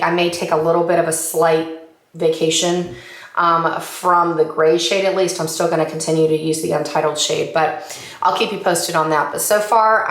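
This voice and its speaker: Slightly raspy woman, lower-pitched, enthusiastic and rambling, casual chat, echoey room. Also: fairly bright tone. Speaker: makeup vlogger. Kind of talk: casual chatty update.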